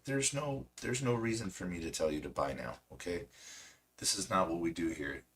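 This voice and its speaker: A man hosting a stock trading stream, hoarse and losing his voice, chatty and self-mocking with a cracking voice. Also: sits low, moderate pace, fairly bright tone. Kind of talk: casual market commentary.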